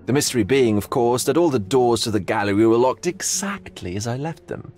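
Man acting theatrical eccentric gallery owner, swooping pitch. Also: animated, darkish tone, natural voice. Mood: intrigued, grave. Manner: theatrical, drawn-out, philosophizing delivery. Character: theatrical, eccentric male art gallery owner, sly and hedonistic. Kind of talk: video game cutscene acting.

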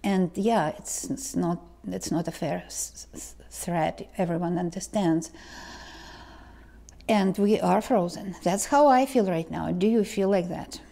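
A woman, talking slowly to camera as a tarot reader, pausing and restarting often. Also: on the low side, vocal fry, mellow. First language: Ukrainian or Russian. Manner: slow, halting, many restarts and ums. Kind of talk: casual vlog talk to camera. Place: living room indoors. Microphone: lapel mic.

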